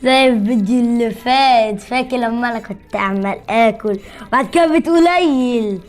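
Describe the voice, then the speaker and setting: Fast, high-pitched Egyptian woman gamer. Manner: playful, teasing. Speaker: gaming video host. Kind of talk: casual chat while playing a game.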